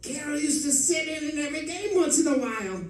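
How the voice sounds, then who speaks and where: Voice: sits high, expressive, a little fast, slight background noise. A performer playing a nervous, pleading underworld informer in an old-time radio crime drama, stammering and whining in slang. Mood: nervous, confiding. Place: live stage performance, mic at some distance. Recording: very echoey stage, distant from mic.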